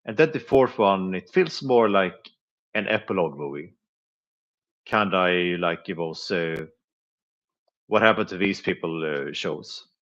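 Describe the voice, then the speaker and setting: A man with a deep, low-pitched voice talks casually to viewers on a live stream. He speaks haltingly, filling gaps with small sounds and quiet chuckles. The recording sounds dull and slightly muffled. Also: lively intonation. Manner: hesitant, many filler sounds, chuckles often. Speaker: live streamer reviewing flea market finds.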